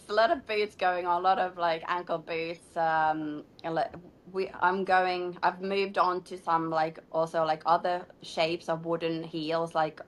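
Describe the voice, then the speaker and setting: Woman, designer guest, low-leaning voice, chatty and filler-heavy. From Finland. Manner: chatty, many "like" fillers, laughs between phrases. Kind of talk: casual interview answers.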